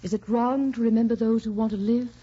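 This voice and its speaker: A woman's voice: fairly high pitch, steady tone, brisk, warm tone, slightly rough. An actress playing a devoted, pleading young woman in old-time radio melodrama, earnest and heartfelt. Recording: dull, noisy old radio recording.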